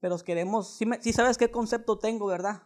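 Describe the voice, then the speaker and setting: A man's voice: high-pitched, steady tone, a little fast. Wheedling Mexican man on a prank call. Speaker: prank caller. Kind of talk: casual prank phone call. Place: indoors, small living room.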